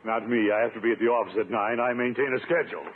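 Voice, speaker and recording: An actor playing a pompous, flustered middle-aged bachelor in a 1950s radio comedy, hemming and blustering. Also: male voice, mid-range voice, steady tone, rapid-fire, lightly acted. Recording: muffled, noisy vintage radio broadcast.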